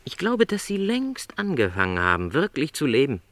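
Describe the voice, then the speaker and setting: An actor playing a middle-aged travelling salesman in a radio play, gentle, earnest and lonely, with courteous, careful, apologetic phrasing. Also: mid-range voice, wildly swooping pitch, normal speed, no echo. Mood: insistent, regretful.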